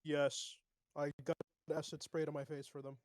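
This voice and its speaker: A man's voice: a little high, little pitch movement, normal speed, no room sound, studio quality. A man chatting casually as a player in a tabletop game. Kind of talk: casual chat.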